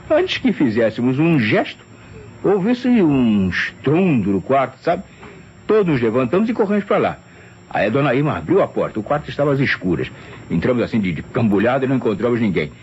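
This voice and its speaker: A man's voice: medium pitch, a little fast. An actor playing a flustered, deferential private secretary, hesitant and stammering as he testifies in an old radio mystery. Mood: bewildered. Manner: hesitant, stammering, tag questions, animated storytelling. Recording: dull, noisy old radio drama recording.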